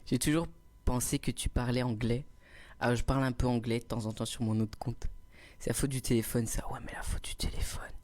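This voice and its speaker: A man's voice: mid-range voice, flat delivery, brisk, a little mellow. A young man doing a casual livestream, reading chat comments and telling teasing, self-mocking stories into a cheap little microphone. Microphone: cheap small clip microphone.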